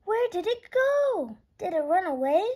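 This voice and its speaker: A woman's voice: very high voice, very animated, measured pace, a little mellow, voice pushed up, heavily acted. A woman voicing a curious, easygoing young male cat from a picture book, exclaiming with wonder.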